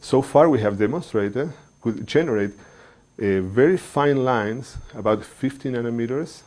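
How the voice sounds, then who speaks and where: Middle-aged researcher, measured and halting. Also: male voice, mid-range voice, a little mellow. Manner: measured, pausing often with fillers, non-native phrasing. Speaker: engineering researcher. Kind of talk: explaining research to a general audience.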